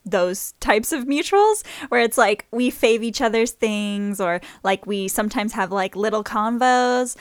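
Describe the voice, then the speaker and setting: A woman's voice: a little high, unhurried, hardly any pauses, no room sound, clean studio sound. A woman co-hosting a casual podcast, rambling and giggly, with lots of "like". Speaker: podcast co-host.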